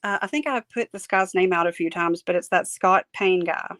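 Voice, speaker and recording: A woman talking casually as a guest, her voice tired and strained, often laughing and halting with fillers. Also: lowish voice, fairly bright tone, no echo. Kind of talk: casual chat.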